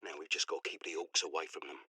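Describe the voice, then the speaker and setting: A man's voice: very deep voice, steady tone, fast, sharp tone, slightly rough, dry recording, studio quality, full character voice. A man playing an earnest, weary soldier recording a game journal, plain-spoken and reflective. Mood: earnest, moved. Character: earnest, weary, warm-hearted male soldier. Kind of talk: dramatic personal journal.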